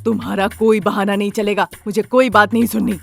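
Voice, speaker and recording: A woman's voice: medium pitch, fairly even intonation, fairly quick, vocal fry, soft background music. Woman voicing a stern, scolding older mother-in-law. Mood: angry scolding. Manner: scolding, blunt.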